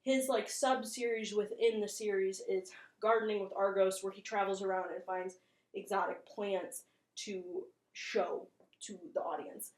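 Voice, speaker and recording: A woman's voice: medium pitch, steady tone, a little slow, a little mellow. A woman giving casual video commentary as a host, chatty, rambling and self-deprecating. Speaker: host of a horror video channel. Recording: roomy, echoing room sound.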